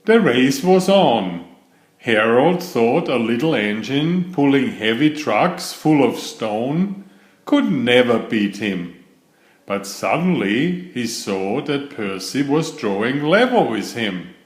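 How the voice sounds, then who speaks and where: A man reading a children's bedtime story aloud in a deliberate, expressive storybook manner. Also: mid-range voice. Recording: roomy, echoing voice recording.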